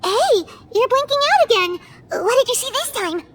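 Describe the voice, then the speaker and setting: Squeaky, very high childlike fairy companion. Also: female voice, big pitch swings, normal speed, a little hiss or hum. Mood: curious. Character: small childlike female fairy companion, chirpy and inquisitive. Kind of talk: video game voice acting.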